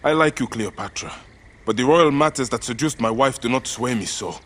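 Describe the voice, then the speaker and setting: A man's voice: medium pitch, expressive, fairly quick, fairly bright tone, a little hiss or hum, close to an everyday voice. An actor playing a blunt, intense Egyptian warrior and grieving father in a cinematic game. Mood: resolute, frustrated.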